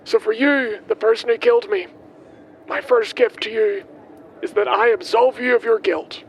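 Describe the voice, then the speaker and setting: An actor playing a kindly, guileless milkman in an audio drama, rambling haltingly as he records his will. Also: very high-pitched, fairly even intonation, highs cut off. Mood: solemn, forgiving. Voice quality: hesitant, halting delivery. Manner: chatty, guileless, wandering off on tangents. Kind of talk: audio drama monologue, spoken as a voice diary. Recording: faint vehicle noise behind.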